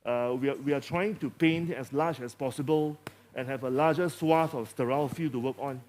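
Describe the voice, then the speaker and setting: A man with a Malaysian English accent, a surgeon presenting a case in halting speech with many fillers and jokey asides. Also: medium pitch, a little mellow, slight background noise. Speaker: surgeon leading a live demonstration.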